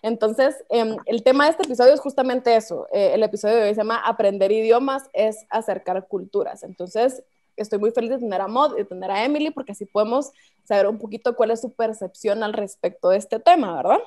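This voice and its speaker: Mellow-voiced woman hosting a video-call show. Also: a little high, a little fast. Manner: friendly, upbeat, asks questions. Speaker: host. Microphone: laptop mic on a video call.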